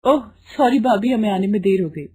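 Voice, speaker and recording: A woman plays a sweet, dutiful young daughter-in-law in a storybook family drama. She speaks gently and respectfully, with a mellow, slightly husky tone. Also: mid-range voice, expressive, a little fast, rough recording. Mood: apologetic.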